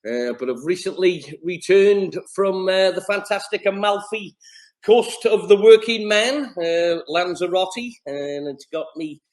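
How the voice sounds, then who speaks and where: A man's voice: high voice, measured pace, a little mellow. A man with a North Welsh accent, a YouTuber talking to camera in a wry, rambling, hesitant way. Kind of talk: vlog to camera.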